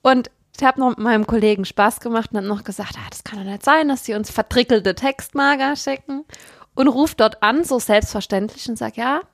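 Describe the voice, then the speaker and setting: A woman tells a casual anecdote and acts out what she said. Her voice sits a bit high. The recording is dry and close. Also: a little fast, no room sound. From Palatinate, Germany. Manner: lively storyteller, quotes herself. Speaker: guest friend.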